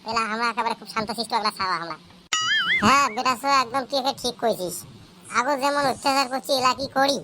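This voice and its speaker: A woman's voice: on the high side, fast talker, loud music behind the voice, natural voice, poor audio. A woman voicing a cheeky, boastful village school pupil in a comic cartoon, brash and talkative, with a North Bengal accent. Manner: brash, rattling recitation and backtalk. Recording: loud noise behind the voice.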